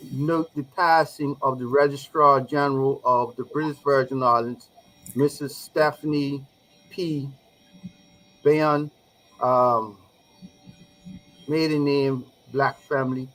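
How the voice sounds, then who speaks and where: A man hosting a live regional talk show, speaking in a deliberate, measured way with emphatic phrasing. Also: on the high side, fairly even intonation, bright, forceful tone, low-quality recording.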